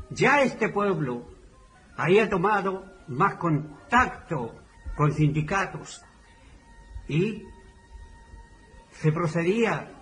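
An older man with a Chilean accent tells oral history as a former community leader, in deliberate, halting phrases with pauses. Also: high-pitched, a bit bright, a little hiss or hum, rough recording.

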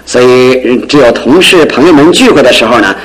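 A man's voice: medium pitch, animated, fairly quick, lightly acted, rough recording. Actor as modest, courteous man with lilting delivery. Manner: hesitant, self-deprecating, polite. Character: modest, courteous male amateur fiddle player, shyly eager. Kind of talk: radio drama acting.

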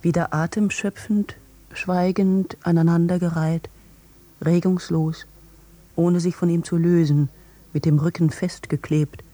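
A man reads literary prose for an audio drama. His voice sits a little high yet is very mellow and dark, and he places each short phrase with measured care. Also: little pitch movement, no room sound. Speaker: literary reader.

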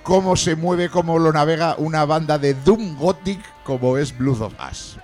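A man's voice: a little high, normal speed, a little mellow, faint music behind. A man hosting a rock podcast interview, talking in a chatty way with many fillers and restarts. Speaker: podcast host. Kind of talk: casual radio interview.

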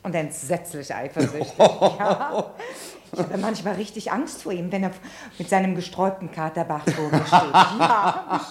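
An actress playing a playful, mocking unfaithful wife in a 1960s radio play, heard in an echoey space. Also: female voice, on the low side, animated, moderate pace, natural voice. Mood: gleefully scornful. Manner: playful, gossipy, breaking into laughter. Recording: echoey stairwell, noisy old radio recording.

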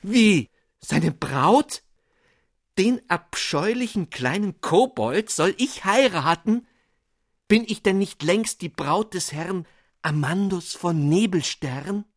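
A man putting on a shrill, indignant young maiden. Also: animated, slow, dry recording, studio quality, heavily acted. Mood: outraged.

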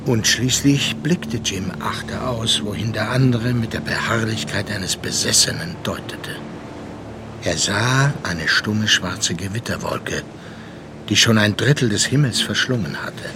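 An actor as the grave narrator of a sea tragedy in a radio play, measured, solemn and dramatic. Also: male voice, fairly low pitch, background noise.

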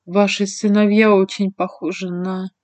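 A woman voicing a gentle, timid young woman in a fantasy audiobook, speaking tenderly and politely with some hesitation. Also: mid-range voice, level tone, normal speed, natural voice. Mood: hesitant, apologetic.

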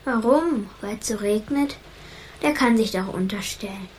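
A woman's voice: mid-range voice, moderate pace, darkish tone, natural voice. A young female performer playing a defiant girl who answers in short, stubborn retorts in a naturalistic children's radio play. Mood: defiant, wistful. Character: young girl attached to her lost pet bird, defiant. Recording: clear background ambience noise.

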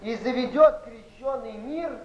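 High-voiced declamatory literary man. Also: unhurried. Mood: grandly declaiming. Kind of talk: theatrical verse recitation and stage dialogue. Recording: echoey, muffled, noisy old theatre recording.

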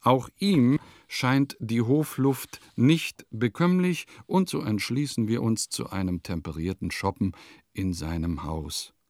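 An actor playing a wry diarist of bygone provincial life in a radio play, with deliberate, declamatory phrasing. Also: male voice, medium pitch, a little mellow, dry recording. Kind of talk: literary radio play monologue.

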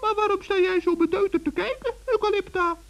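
A man's voice: extremely high pitch, fairly quick, vocal fry, fairly bright tone, pitched above the performer's own voice, exaggerated voice. A man voicing a small, cheerful, innocently teasing forest gnome in a children's radio play, chirpy and polite.

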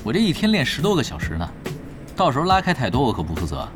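A man's voice: medium pitch, huge pitch swings, quick pace, over music. A man acting a cheeky, easygoing veteran gamer in an audio drama, teasing and nonchalant. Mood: breezy.

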